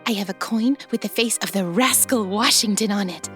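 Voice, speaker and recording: An actress playing a sweet, spaced-out librarian in an audio drama, with quaint formal diction and earnest dreaminess. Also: female voice, mid-range voice, moderate pace, fairly bright tone, soft background music, lightly acted. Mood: earnest, curious.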